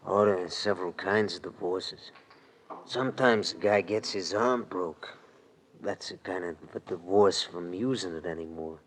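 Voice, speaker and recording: An actor playing a smug, menacing gangster who talks in sneering slang, in 1940s radio drama style. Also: male voice, low voice, normal speed, close to an everyday voice. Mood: sly menace. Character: menacing, smug, small-time male gangster tough. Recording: noisy old radio broadcast recording.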